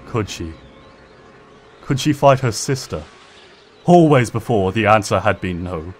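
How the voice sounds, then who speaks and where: A man's voice: fairly low pitch, lively intonation, a little slow, faint music behind. A man reading fiction aloud as a narrator, in a dramatic scripted storytelling style. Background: ambient sound effects.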